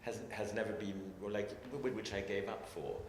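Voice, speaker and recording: A man's voice: on the low side, steady tone, brisk, slight background noise. A man speaking as a scientist on a panel, reflective and hesitant, with many restarts. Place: large echoey hall. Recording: echoey hall with long reverb, faint ambience.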